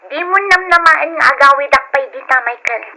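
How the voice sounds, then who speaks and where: An actress playing a fed-up, cold wife in an old radio drama, firm and scornful, on a slightly noisy recording. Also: female voice, high-pitched, fairly quick, vocal fry, bright, forceful tone, heavily acted. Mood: cold, resolute. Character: fed-up, cold wife leaving her husband, Lilian.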